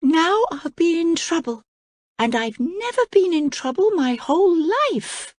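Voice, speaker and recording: A woman voicing a prim, polite young princess in a storybook reading, earnest and proper, raising her voice high. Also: expressive, a little slow, a little mellow, dry recording. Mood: scolding.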